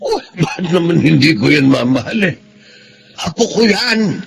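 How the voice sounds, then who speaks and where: An actor playing a gentle, cheerful elderly grandfather with a gravelly voice and Filipino accent in a radio drama. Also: on the high side, big pitch swings, normal speed, heavily acted. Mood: doting. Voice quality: gravelly, creaky. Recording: clear background ambience noise.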